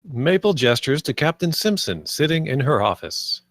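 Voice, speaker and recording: A man's voice: a little high, big pitch swings, moderate pace, fairly bright tone, no echo. Man reading screenplay directions, dry sound. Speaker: stage-direction narrator. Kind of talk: scripted narration at a table read.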